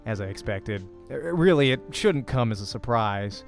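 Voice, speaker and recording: A man's voice: on the low side, expressive, moderate pace, vocal fry, faint music behind, pitched below the performer's own voice. A man voicing an anxious, weary young man in a visual novel, speaking in a subdued, dry and hesitant way. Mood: resigned. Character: anxious, weary young Western male aspiring team recruiter.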